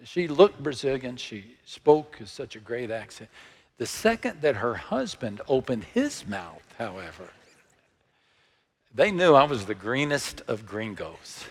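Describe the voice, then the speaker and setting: A man in his sixties with a Texan accent, preaching a sermon in a slow, measured way that sinks to hushed, near-whispered lines. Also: medium pitch, very animated, breathy edge, long reverb tail. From Texas. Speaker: pastor.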